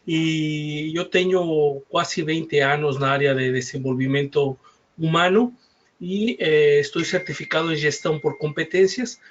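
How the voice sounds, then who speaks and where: A man's voice: fairly high pitch, unhurried. A man from Mexico with a Mexican Spanish accent, politely introducing himself on an online panel and sometimes searching for words. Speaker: panelist, human development specialist. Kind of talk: self-introduction on a web panel. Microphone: video call. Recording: muffled video call audio.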